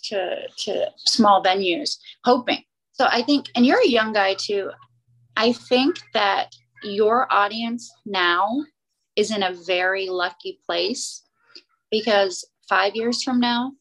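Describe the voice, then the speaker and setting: A woman's voice: medium pitch, vocal fry, a bit bright, rough recording. A woman co-hosting a podcast in casual chat, talkative and rambling, laughing easily. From southern United States. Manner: chatty, rambling, quick laughs. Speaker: podcast co-host.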